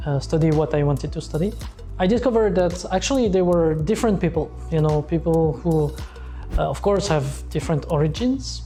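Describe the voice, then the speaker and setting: A man's voice: fairly high pitch, dark tone. A man in his forties from France with a French accent, telling his life story in an interview, hesitant with many fillers. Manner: hesitant, frequent uh fillers, simple phrasing. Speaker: entrepreneur. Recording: faint background music.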